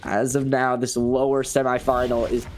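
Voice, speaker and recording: A man's voice: medium pitch, flat delivery, moderate pace, darkish tone, soft background music. A man giving live play-by-play commentary on an esports match. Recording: gunfire and game noise behind voice. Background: video game gunshots and clicks.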